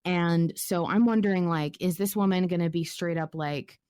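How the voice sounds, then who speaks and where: Casting: female podcast host, slightly low voice, playful and wry, chatty delivery, very dry room sound. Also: moderate pace, a little mellow, clear, resonant tone, no room sound. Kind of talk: casual podcast banter and discussion.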